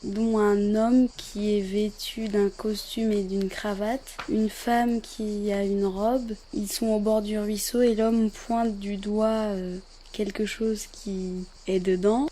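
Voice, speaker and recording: A woman's voice: medium pitch, dark tone. A woman describing an image in plain, simple spoken words. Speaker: photo describer.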